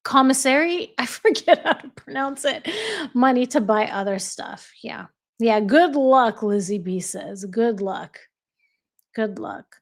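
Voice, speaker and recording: An American woman hosting a casual livestream, reading viewer comments aloud and laughing often as she chats. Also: fairly high pitch. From United States. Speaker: livestream host.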